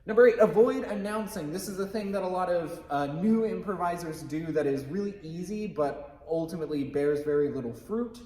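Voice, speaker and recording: A man's voice: on the high side, brisk, few pauses, warm tone. A man teaching improv in an informal, emphatic way, explaining rules and stressing key phrases in an echoey room. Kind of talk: explanatory lesson with quick acted examples.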